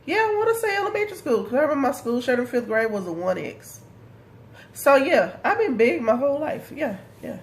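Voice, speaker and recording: A woman's voice: high-pitched, big pitch swings, a little fast. A woman in her thirties giving a casual video diary update, congested from a head cold and talking in a chatty, rambling way full of ums and likes. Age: 30s. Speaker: vlogger.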